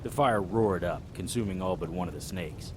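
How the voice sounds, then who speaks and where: A man voicing a hard-boiled 1920s private eye, narrating in a laconic, deadpan noir style. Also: sits low, normal speed, background noise, close to an everyday voice. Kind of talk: first-person noir narration.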